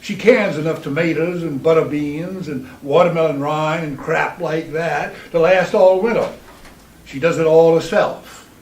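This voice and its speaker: A man's voice: fairly high pitch, measured pace, slight background noise. A man playing a bitter, sardonic Southern farmer from a fallen planter family in a stage monologue, with a dry, folksy drawl and a Southern US accent. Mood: grumbling, matter-of-fact. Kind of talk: theatrical monologue reading. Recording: roomy, distant microphone in hall.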